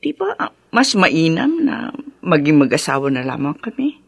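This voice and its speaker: An actress playing a practical middle-aged single woman in a radio drama, talking in a measured, plain-spoken way. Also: low voice, sing-song, vocal fry, husky, dry recording, studio quality, heavily acted. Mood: calm, practical.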